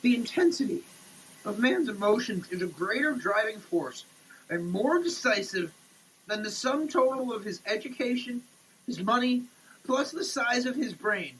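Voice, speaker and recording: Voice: mid-range voice, animated, moderate pace, fairly bright tone, a little hiss or hum, low-quality recording. A person giving a theatrical, declamatory dramatic reading of printed text. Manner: theatrical, declamatory, with muttered asides. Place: shower.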